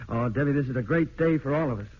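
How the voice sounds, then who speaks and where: An actor playing an earnest, tender husband and adoptive father in an old radio drama, sincere and halting. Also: medium pitch, close to an everyday voice. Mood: warm, elated. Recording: muffled, noisy old radio recording.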